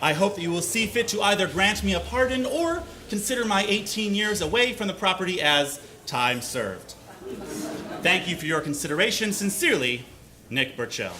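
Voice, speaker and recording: A man giving a mock-serious comedic reading of a story live on stage, with deliberate pauses for effect. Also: high-pitched, sing-song, a crowd in the background. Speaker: live reader of a humorous letter. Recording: live stage recording with faint noise.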